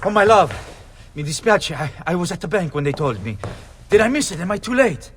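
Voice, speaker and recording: An excitable tender italian dad, highish swoopy voice, game cutscene, really noisy behind. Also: male voice, a little fast. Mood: breathless, anxious. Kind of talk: video game cutscene acting.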